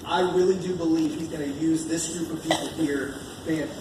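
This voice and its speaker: A man's voice: fairly high pitch, fairly even intonation, normal speed. An American man preaching an informal, conversational sermon, earnest and repeating key phrases for emphasis as he reads and explains scripture. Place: large echoey indoor church meeting space. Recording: echoey room, distant mic, background noise.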